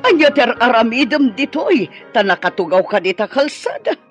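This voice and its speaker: A woman's voice: a little high, lively intonation, moderate pace, muffled recording, soft background music, lightly acted. An actress playing a sceptical, blunt, inquisitive older woman in an Ilocano radio drama. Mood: concerned, questioning.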